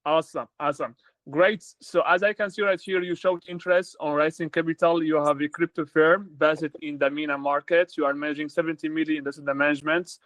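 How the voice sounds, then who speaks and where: A man born in Sweden, hosting a business discovery call at a brisk pace, with slightly non-native phrasing. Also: a little high, steady tone, fairly bright tone, dry recording. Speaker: capital-raising advisor hosting a call.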